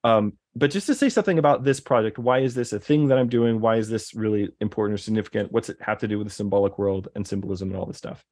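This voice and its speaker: A man's voice: lowish voice, fast, hardly any pauses, darkish tone. A man in casual conversation, rambling, with many fillers and restarts. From American Deep South. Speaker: guest.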